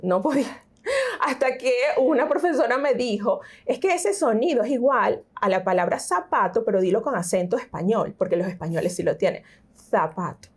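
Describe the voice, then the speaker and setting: A middle-aged woman with a Venezuelan accent, a teacher giving an interview and explaining reading and pronunciation with lively examples. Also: fairly high pitch, normal speed, breathy edge. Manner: explanatory, animated, frequent fillers. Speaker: teacher and tutor, interview guest. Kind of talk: conversational interview.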